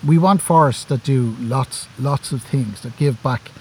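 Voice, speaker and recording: A man's voice: mid-range voice, measured pace, a little hiss or hum. An Irish man from Dublin, a forestry worker, talking enthusiastically in a casual outdoor conversation, often repeating words as he thinks. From Ireland. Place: outdoors among camping gear.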